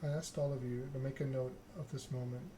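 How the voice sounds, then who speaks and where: Man as stern female vampire monarch, solemn, formal, even intonation, noisy game reading. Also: mid-range voice, brisk, lightly acted. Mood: measured, authoritative. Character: stern, regal female vampire ruler passing judgment. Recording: clear background noise.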